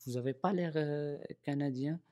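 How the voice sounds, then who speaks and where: A man's voice: a little high, moderate pace, warm tone. A man with a Moroccan accent in a recorded oral history interview, often hesitating and repeating words as he searches for them.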